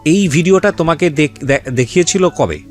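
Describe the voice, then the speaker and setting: A man's voice: a little high, lively intonation, normal speed, faint music behind. A man with a Bangladeshi accent hosting an interview, asking probing questions in a directive way and mixing in English words. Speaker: show host interviewing guests.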